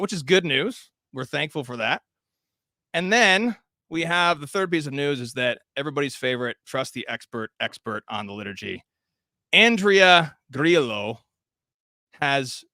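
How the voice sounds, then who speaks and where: A man's voice: on the high side, bright, forceful tone, no echo. A man hosting and introducing a roundtable panel, talking with wry, sarcastic asides and frequent "uh" fillers. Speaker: host and editor.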